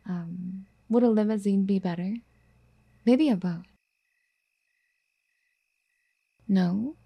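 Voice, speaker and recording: A woman playing a doting, clingy, obsessive girlfriend in an ASMR roleplay, speaking in a soft, breathy, coaxing half-whisper. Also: medium pitch, a little slow, dull audio. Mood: eager to please. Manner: cooing, coaxing pet-name sweet talk.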